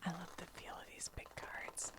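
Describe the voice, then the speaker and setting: A woman whispering softly, mostly unvoiced, while she sorts and reads cards with chatty, giggly asides. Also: normal speed. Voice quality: soft whisper, often unvoiced. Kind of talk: whispered ASMR card sorting.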